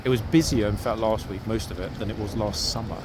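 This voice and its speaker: A man's voice: lowish voice, fast talker. A man vlogging outdoors, chatting freely with a visitor and stressing points with repeated words. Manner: chatty, emphatic repeats like "very, very rare". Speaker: vlogger filming a ceremony. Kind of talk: casual chat to a viewer and camera. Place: outdoors at a busy city parade ground. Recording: loud outdoor street noise.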